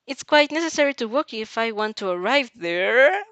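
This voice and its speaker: A woman's voice: a little high, expressive, moderate pace, a bit bright, dull audio, slight background noise. A young woman voicing a cheerful, earnest and determined teenage heroine in an anime fan fiction. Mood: deadpan. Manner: chirpy, earnest.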